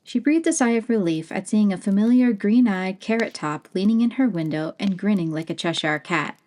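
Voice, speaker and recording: A woman narrating a fantasy story in a gentle, unhurried way. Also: medium pitch. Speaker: audiobook narrator.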